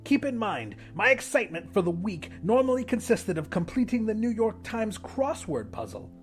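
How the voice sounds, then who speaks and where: A man's voice: high-pitched, sing-song, normal speed, faint music behind. A man narrating an audiobook as a pompous, depraved widowed English professor in his sixties, with an ornate, theatrical delivery. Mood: embarrassed, confessional. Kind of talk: dramatic first-person audiobook narration.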